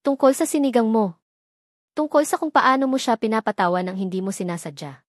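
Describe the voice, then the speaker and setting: A woman's voice: on the high side, fairly quick, no room sound, studio quality, natural voice. Woman playing a frank, teasing Filipina little sister. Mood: friendly, teasing. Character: friendly, lively younger sister of a rich man.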